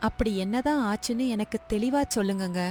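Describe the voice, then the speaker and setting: A woman's voice: medium pitch, fairly quick, darkish tone, faint music behind, close to an everyday voice. A woman acting an anxious, devoted poor village wife in a story drama, worried and imploring. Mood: alarmed.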